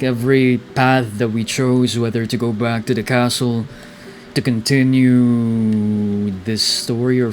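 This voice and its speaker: A young man giving casual, laid-back game playthrough commentary in a musing way. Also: mid-range voice. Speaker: game streamer.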